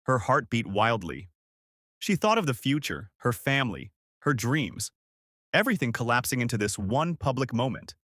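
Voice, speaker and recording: A man's voice: medium pitch, sing-song, normal speed, fairly bright tone, no room sound, studio quality. A man narrating a scripted story dramatically, with suspenseful, emphatic short phrases.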